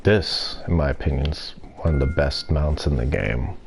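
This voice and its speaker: A young man streaming a game and chatting casually, with a stuffy nose and throat and a rambling, relaxed way of talking. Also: extremely low pitch, normal speed, background noise. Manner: relaxed, rambling, self-deprecating. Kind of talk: casual gameplay commentary. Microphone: desk mic.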